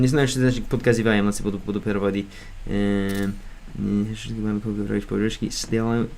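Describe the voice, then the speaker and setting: A man's voice: mid-range voice, a little hiss or hum. Rambling young American man. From United States. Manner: rambling, halting, lots of fillers and restarts; hesitant beginner Russian with self-corrections. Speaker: streamer learning Russian. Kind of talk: casual livestream chat.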